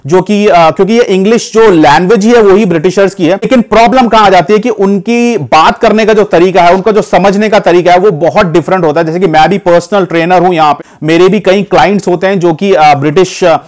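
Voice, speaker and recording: A man from India talks straight to his audience in an easygoing vlog, his voice sitting noticeably high. He rambles a little, circling back with fillers and restarts, and keeps calling his viewers "guys". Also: fairly quick, hardly any pauses, darkish tone. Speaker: vlogger giving advice. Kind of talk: casual talk to camera.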